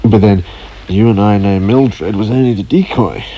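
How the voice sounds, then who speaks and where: An actor playing an urbane, affectionate, drily jealous husband in a radio drama, measured and playfully ironic. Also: male voice, fairly low pitch, warm tone, a little hiss or hum, lightly acted, rough recording. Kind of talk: naturalistic radio drama acting.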